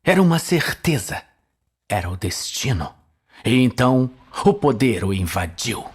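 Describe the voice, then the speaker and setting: A man's voice: mid-range voice, very animated, unhurried, breathy edge. A man with a Brazilian accent giving dramatic scripted narration of a fantasy tale. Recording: music behind the voice.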